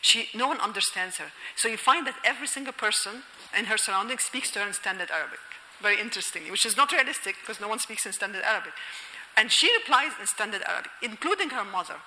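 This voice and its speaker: A bright, sharp-toned woman from Egypt gives a university lecture, thinking aloud with frequent fillers and restarts. Her voice carries a long reverb, as if from a hall. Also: medium pitch, expressive, fast. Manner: thoughtful, many fillers and restarts, laughing asides. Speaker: lecturer and novelist.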